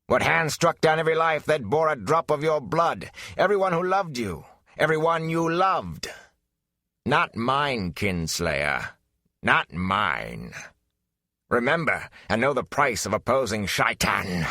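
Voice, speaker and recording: A man playing a menacing, mocking villain who serves darkness, theatrical and forceful, in a fantasy audiobook. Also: mid-range voice, sing-song, a little slow, dry recording, clean studio sound, pitched above the performer's own voice, exaggerated voice. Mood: accusing. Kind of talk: fantasy audiobook character acting.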